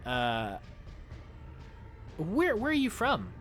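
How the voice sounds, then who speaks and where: A man's voice: high voice, drawn-out words, soft background music. A young man chatting casually with his viewers while he plays, talking into a headset mic in a small room. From United States. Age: young adult. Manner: chatty, animated, talks to chat while playing. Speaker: streamer. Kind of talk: casual gameplay commentary with chat.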